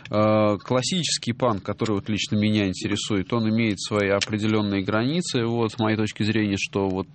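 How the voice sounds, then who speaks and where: A middle-aged man hosting a music radio show, talking casually and hesitantly, with many drawn-out filler pauses. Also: fairly low pitch, fairly even intonation, noisy background. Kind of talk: casual radio talk reading listener messages.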